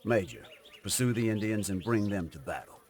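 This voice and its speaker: Man as pompous low-voiced American cavalry officer. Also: expressive, a little fast, a little mellow, close to an everyday voice. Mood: imperious. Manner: pompous, commanding. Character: pompous, haughty, touchy 1870s cavalry officer. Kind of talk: video game cutscene acting.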